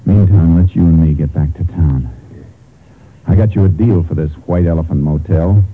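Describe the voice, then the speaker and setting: Deep-voiced, hard-boiled Texas lawman. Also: normal speed, breathy edge. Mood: wry. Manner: terse, commanding, hard-boiled. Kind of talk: 1950s radio crime drama acting. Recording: noisy, narrow-band 1950 radio recording.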